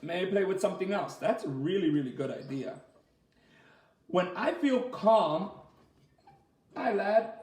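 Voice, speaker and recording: A man leading a children's story time as a teacher, reading aloud and asking the listeners simple questions in an animated way. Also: sits high, unhurried, pauses often. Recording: roomy, echoey sound.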